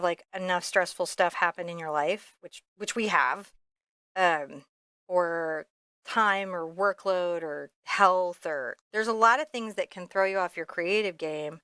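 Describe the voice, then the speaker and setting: A woman's voice: on the low side, fairly bright tone, clean tone, dry recording, studio quality. A woman giving a casual step-by-step painting lesson, thinking aloud in a candid, informal way with rambling asides. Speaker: painting instructor.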